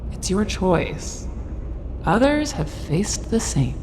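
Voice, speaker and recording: A woman playing a cryptic female monster of deviance in a horror audio drama, speaking in terse, measured pronouncements. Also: very animated. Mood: cool, cryptic. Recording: long cavernous reverb, faint rumbling noise.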